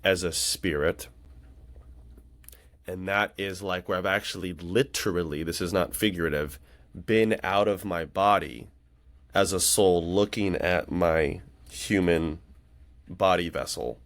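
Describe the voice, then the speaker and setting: A man's voice: deep voice, unhurried. A man in his late thirties chatting casually on a podcast in an earnest, reflective, rambling way. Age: late 30s. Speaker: podcast conversation partner.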